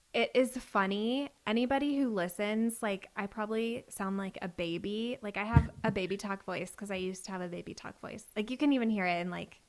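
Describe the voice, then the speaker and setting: Chatty woman podcast host. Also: mid-range voice. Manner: chatty, frequent "like" fillers. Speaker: podcast host and business coach. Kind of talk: casual conversation.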